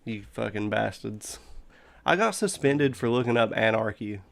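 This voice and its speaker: A man's voice: on the low side, lively intonation, normal speed, gravelly. A man hosting a casual stream chat, with crude, profane banter. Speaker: stream host.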